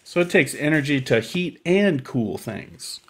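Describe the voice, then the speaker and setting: A man hosting a library storytime, chatting casually and simply to young children in a gentle, encouraging way. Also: on the high side, animated, measured pace.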